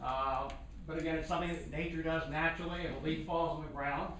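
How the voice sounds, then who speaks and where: A man's voice: a little high, steady tone, quick pace, a bit bright, slight background noise. A man giving a slideshow talk at a community meeting, speaking plainly with lots of "again" and "uh". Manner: plain and conversational, repeats "again" and "uh". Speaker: community presenter. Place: meeting room. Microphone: room mic from across the room. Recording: echoey meeting room from far off.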